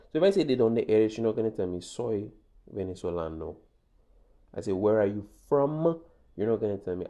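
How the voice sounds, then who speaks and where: A deep, gravelly man guides a class through a Spanish lesson, pausing often to ask "okay?" and repeating each point. His tone is dark and mellow, and he teaches patiently.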